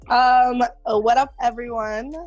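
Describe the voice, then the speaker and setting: A woman's voice: fairly high pitch, no room sound, muffled recording. A woman in her twenties, born in Haiti, co-hosting a casual podcast and joking around with many laughs and ums. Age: 20s. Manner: laughing, joking, loose with fillers. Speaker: podcast co-host. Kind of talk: casual group podcast chat.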